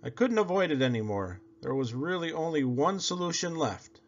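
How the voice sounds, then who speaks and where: A man reads a children's picture book aloud with a widely swooping, highly animated pitch. His delivery is theatrical and expressive, with words drawn out for effect. The recording sounds a little dull and lo-fi. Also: moderate pace. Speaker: storybook reader. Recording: slightly dull, lo-fi audio.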